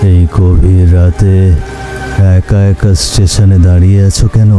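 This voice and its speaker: Man as an ominous, deep-voiced old stranger. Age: older. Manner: ominous, measured. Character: eerie old man warning at a haunted station.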